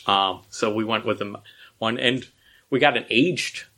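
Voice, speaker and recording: Podcast host, male, bright and somewhat low voice, eager rambling chat. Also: moderate pace. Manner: enthusiastic, rambling with restarts. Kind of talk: casual chat.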